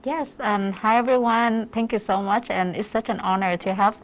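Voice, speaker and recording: A woman with a Vietnamese accent explains her work as a lash artist in a casual way. Her voice sits at a medium pitch, and her phrasing is a little hesitant and non-native. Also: fairly even intonation, normal speed, rough recording. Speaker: lash artist giving a demonstration. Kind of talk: casual explanation on a live stream.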